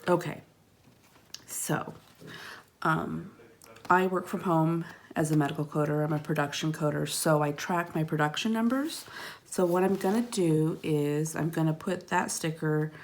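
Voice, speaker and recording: A woman in her thirties chatting casually at home while placing planner stickers, rambling and sometimes murmuring quietly to herself. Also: sits low, a little slow. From California. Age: 30s. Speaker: planner vlogger. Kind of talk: casual plan-with-me narration. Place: at home, tabletop.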